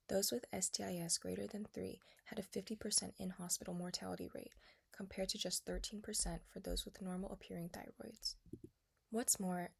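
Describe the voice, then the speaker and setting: Woman reading a scripted med research explainer, tons of vocal fry, husky, kinda formal. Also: medium pitch. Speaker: presenter, junior editor at a radiology education series. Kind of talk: scripted educational narration of medical research.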